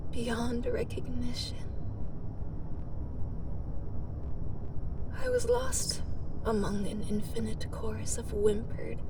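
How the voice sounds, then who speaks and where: A woman playing a weary, bitter immortal warrior in an emotional dark fantasy audio roleplay, speaking haltingly and dramatically and often dropping into breathy whispers. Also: on the high side, recorded from a distance, noisy background. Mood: weary, anguished. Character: weary immortal woman warrior, bitter, desperate, tender toward her enemy.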